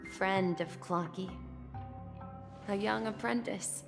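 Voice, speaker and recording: A woman voicing a gentle, wistful boy born of a dream in a scripted game story. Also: medium pitch, slow pace, vocal fry. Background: game music.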